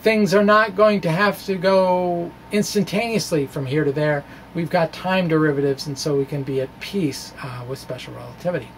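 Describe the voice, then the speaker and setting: American guy doing a casual physics lecture, pretty high voice, lots of fry, kinda halting with little asides. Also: slight background noise, rough recording. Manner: halting, informal explaining with trailing asides. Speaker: physics video blogger. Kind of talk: informal physics lecture to camera. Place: small indoor room with bookshelves. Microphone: microphone on a stand close in front.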